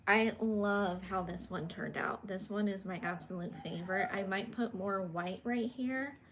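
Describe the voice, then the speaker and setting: A soft-spoken young woman from California chats slowly and unhurriedly while she shows her paintings on a livestream. She sounds a little awkward and stays at a middling pitch as she reads out viewer comments. There is a faint hiss of noise behind her in her bedroom. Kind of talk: casual livestream chat, answering viewer comments.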